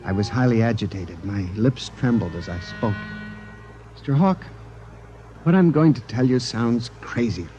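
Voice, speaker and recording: A man's voice: mid-range voice, animated, moderate pace, dark tone, muffled recording, lightly acted. Frantic, paranoid actor playing a man, swooping intensity. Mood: haunted, confessing. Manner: agitated, pleading, intense. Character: frantic, paranoid accused man convinced machines are alive. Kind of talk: 1950s radio drama acting. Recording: band-limited 1950s radio recording, music behind.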